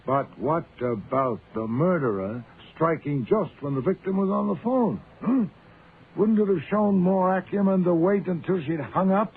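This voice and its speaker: An actor playing a pompous, shrewd, imperious private detective in a radio mystery, with erudite, deliberate, theatrical phrasing. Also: male voice, mid-range voice, lightly acted. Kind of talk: radio mystery drama acting. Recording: narrow, tinny, noisy old-time radio recording.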